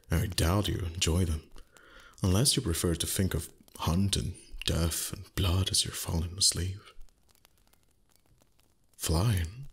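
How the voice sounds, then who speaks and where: Casting: male actor for proud, age-old dragon in intimate roleplay audio. Deep, very raspy-breathy voice, formal phrasing, teasing disdain hiding a soft heart. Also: heavily acted. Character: ancient, proud dragon, gruff but secretly tender. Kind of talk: roleplay ASMR voice acting.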